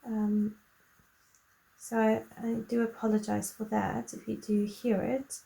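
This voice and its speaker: Slow, croaky woman with a gravelly voice. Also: on the high side, little pitch movement, rough recording. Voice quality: slow, soft-spoken and gentle. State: croaky voice with a lingering cough. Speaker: coloring tutorial host. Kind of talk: relaxed chatty tutorial.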